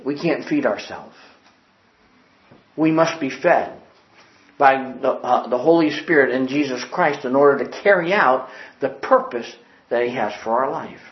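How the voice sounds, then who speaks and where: A man preaching a sermon in an earnest, emphatic way, repeating his key phrases and quoting old-fashioned scripture wording. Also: medium pitch. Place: church building. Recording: echoey, dull lo-fi sound.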